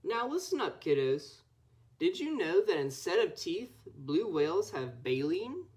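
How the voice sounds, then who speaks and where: A woman's voice: on the low side, very animated, a little slow, a little mellow, pitched above the performer's own voice. A young woman voicing an enthusiastic, scholarly male schoolteacher in a picture book. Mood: eager lecturing.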